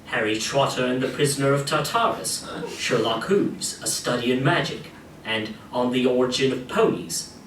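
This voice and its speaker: An echoey, distant recording with faint noise of a male game narrator. His medium-pitched voice moves up and down animatedly as he reads scripted scene descriptions. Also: animated, moderate pace, a bit bright.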